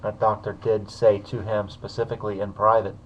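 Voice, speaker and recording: A man's voice: on the low side, level tone, a little fast, highs cut off, a little hiss or hum. A man casually commenting on a video he uploaded, talking hesitantly with many fillers.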